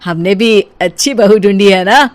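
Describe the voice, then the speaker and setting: A woman's voice: medium pitch, a little slow, lightly acted. A woman voicing a nosy, smug middle-aged male neighbour in a cartoon story, ingratiating and teasing. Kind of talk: cartoon story character acting.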